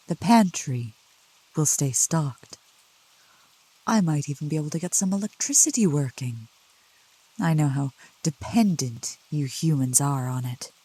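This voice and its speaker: A woman acts out a doting demoness of the woods in an ASMR roleplay. Her voice is soft, low and dark, very close and dry. She speaks slowly and formally, soothing and teasing with a possessive tenderness. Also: no room sound, rough recording. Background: rain.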